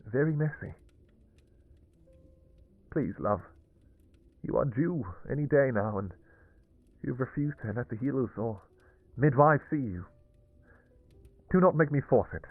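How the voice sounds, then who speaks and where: A man playing an anguished, devoted vampire king in a fantasy romance audio roleplay, speaking in halting, pleading, formal old-fashioned phrases. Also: mid-range voice, dry recording, narrow, tinny audio. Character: anguished, devoted vampire king, obsessive lover and new father.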